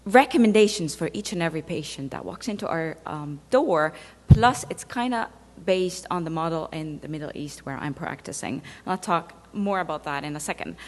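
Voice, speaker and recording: A woman from Egypt, a dentist giving a conference talk, speaking conversationally with fillers and playful asides. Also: fairly low pitch. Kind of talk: conference presentation. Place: large conference hall. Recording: reverberant lecture hall.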